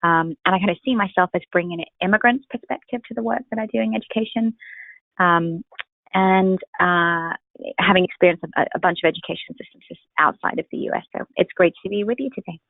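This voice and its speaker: A woman's voice: lowish voice, steady tone, fast, no room sound. A woman from the UK with a British accent, introducing herself conversationally as a guest. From United Kingdom. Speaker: guest, programme graduate. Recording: thin phone-like line.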